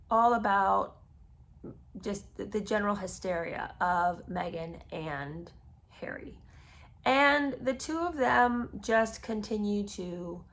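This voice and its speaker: Hoarse woman with a sarcastic edge. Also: mid-range voice, fairly bright tone. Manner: sarcastic, exasperated critique. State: hoarse, voice cracking. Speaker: podcast host. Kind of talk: casual commentary reading a memoir.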